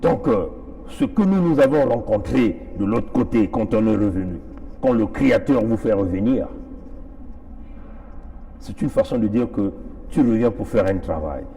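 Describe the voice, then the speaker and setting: Dark-voiced Congolese man, impassioned political address. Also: fairly low pitch, lively intonation, moderate pace, long pauses, rough recording. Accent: Congolese French. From Congo-Brazzaville. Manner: emphatic, repeating phrases for effect. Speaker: political activist.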